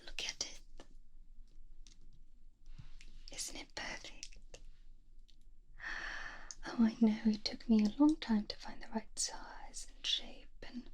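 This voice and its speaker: A doting, possessive mad scientist lady, as acted by a woman for a fantasy ASMR roleplay. She whispers softly and rather high, with a mellow tone. She coos and gently scolds as if fussing over a precious creation. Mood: cooing, gleeful. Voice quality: soft whisper. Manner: cooing, gently scolding, slow and breathy.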